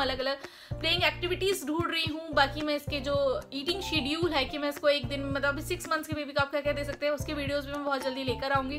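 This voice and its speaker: A young woman with a high, bright voice talks through a baby product review in a chatty, explanatory way, slipping English phrases into her sentences. She speaks to a camera in a small bedroom, with music playing clearly underneath. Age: young adult. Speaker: parenting vlogger reviewing a baby toy. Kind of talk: casual product review, Hindi mixed with English.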